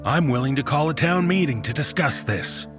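A man's voice: fairly high pitch, expressive, normal speed, music in the background, close to an everyday voice. A man playing a blunt, confrontational small-town sheriff in a horror audio drama, folksy and accusing in the way he talks. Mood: threatening.

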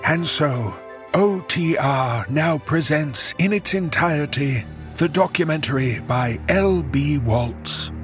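Radio documentary host, genteel, solemn, formal, slightly high male voice, unhurried, music behind. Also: lively intonation. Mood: measured, solemn. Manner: measured, solemn, pompous. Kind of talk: formal scripted radio presentation.

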